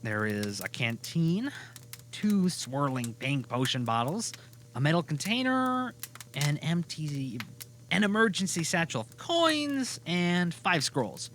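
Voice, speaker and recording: A man narrating a scripted audio drama as a storyteller, deliberate and given to dramatic pauses. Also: fairly high pitch, very animated, a bit bright.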